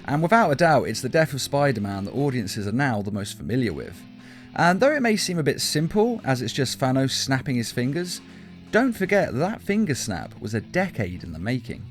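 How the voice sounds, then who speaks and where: A man's voice: medium pitch, sing-song, moderate pace. A man reading a scripted countdown as an emphatic, opinionated fan commentator. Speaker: video list narrator. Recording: music behind the voice. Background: guitar backing music.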